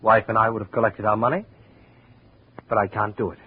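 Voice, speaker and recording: A man's voice: on the low side, flat delivery, fairly quick, husky. A man playing a sly, prickly, fatalistic barfly in a 1950s radio drama, with a sardonic, world-weary manner. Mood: confiding. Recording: tinny, hissy old radio recording.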